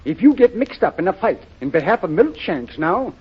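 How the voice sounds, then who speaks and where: A man's voice: high voice, very animated, moderate pace, breathy edge, exaggerated voice. An actor playing a blustery, fatherly older small-town colonel with an American accent in a 1930s radio drama. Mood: admonishing. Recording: dull, muffled 1930s radio recording.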